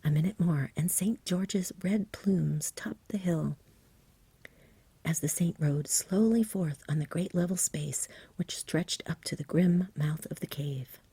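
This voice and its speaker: A woman storyteller reads in an ASMR style, in a low, very breathy whisper. Her delivery is gentle and soothing, mellow, and close to the mic. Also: measured pace, dry recording. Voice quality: soft-spoken, whispery, breathy. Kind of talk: ASMR bedtime story reading, scripted narration.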